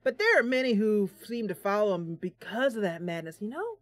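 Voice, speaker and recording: Woman acting grudging, halting village trader man. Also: mid-range voice, big pitch swings, lightly acted. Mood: uneasy, musing. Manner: reluctant, halting, musing. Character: gruff, grudging male trading post keeper, plain-spoken villager.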